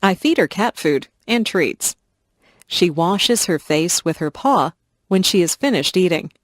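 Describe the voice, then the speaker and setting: Woman reading lively, clear English passages. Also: on the low side, dry recording, studio quality. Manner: slow, clear, evenly paced reading. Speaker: English lesson reader. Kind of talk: scripted narration of simple passages.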